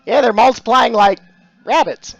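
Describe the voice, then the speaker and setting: A man's voice: very high-pitched, moderate pace, dry recording, dull audio, faint music behind. A middle-aged man, chatting casually on a live stream about his craft, full of dad jokes and thanks to followers. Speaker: stained glass streamer.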